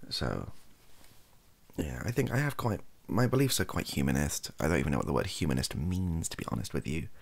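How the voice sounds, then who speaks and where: A young man with an extremely low, heavily creaky voice talks softly, as in an ASMR video. His intonation wanders very widely as he rambles in a hesitant, self-deprecating way. He sits close to the microphone. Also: very animated, a little fast. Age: young adult. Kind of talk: softly spoken ASMR chat. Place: small bedroom at a desk.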